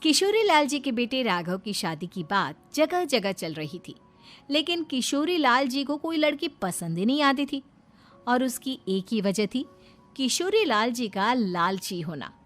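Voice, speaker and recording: A woman's voice: on the high side, big pitch swings, measured pace, faint music behind. A woman reading scripted narration for a moral cartoon story.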